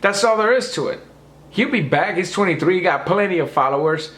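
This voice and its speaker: An emphatic man with a hoarse, slightly high voice lectures his viewers and hammers each point home. His voice bounces around an echoey room. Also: animated, a little fast, fairly bright tone. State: losing his voice. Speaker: boxing commentator. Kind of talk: opinionated rant to camera.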